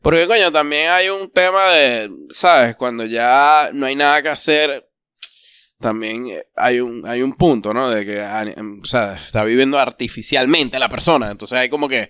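A man's voice: medium pitch, normal speed, bright, forceful tone, smooth, no echo, clean studio sound. A man speaking as a podcast guest in casual, colloquial Spanish chat, circling back over his points as he gives opinions.

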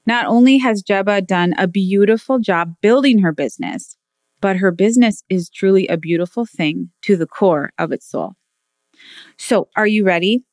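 A woman's voice: mid-range voice, moderate pace, darkish tone, dry recording. A woman hosting a podcast, reading an enthusiastic, admiring introduction of her guest. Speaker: podcast host.

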